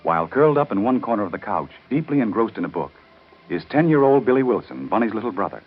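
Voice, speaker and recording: A male soap opera narrator from 1930s radio, formal and dramatic. His pitch moves expressively. Faint music plays behind the dull old recording. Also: very animated, quick pace, husky.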